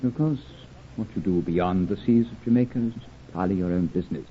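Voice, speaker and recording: An actor playing a pompous, scheming colonial governor in an old radio drama, formal and authoritative. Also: male voice, deep voice, quick pace, natural voice. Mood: imperious. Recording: muffled phone-like old radio recording, noisy.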